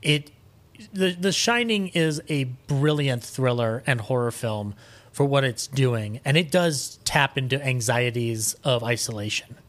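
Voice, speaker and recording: A man speaking as a podcast guest in a casual film discussion, animated and opinionated, swearing freely. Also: mid-range voice, a little slow.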